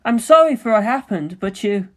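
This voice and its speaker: A woman voicing an earnest, thoughtful young male pilot in a story, calm, serious and polite. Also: a little high, normal speed, voice pushed up, lightly acted. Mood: sincere.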